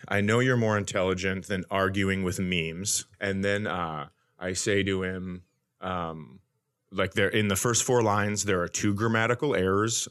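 A man's voice: low-pitched, a bit bright. A man in his forties, a comedian and podcast host, telling stories casually and acting out the dialogue with mock bravado. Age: 40s. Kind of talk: casual comic storytelling.

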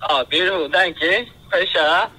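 A man's voice: high-pitched, a little fast, pushed and bright. A young Australian man chatting casually on the phone, polite and hesitant. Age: young adult. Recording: noisy phone call.

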